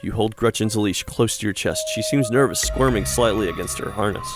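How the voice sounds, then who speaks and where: A man's voice: lowish voice, brisk, over music. A man hosting a gaming video, reading a horror story aloud expressively and breaking into casual banter. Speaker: gaming channel host and narrator.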